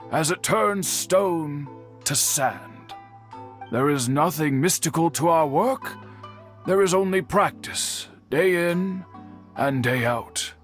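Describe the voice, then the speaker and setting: An actor playing a dignified master bladesmith in a video game, speaking in a formal, earnest way. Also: male voice, a little high, fairly bright tone. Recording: game audio with faint music.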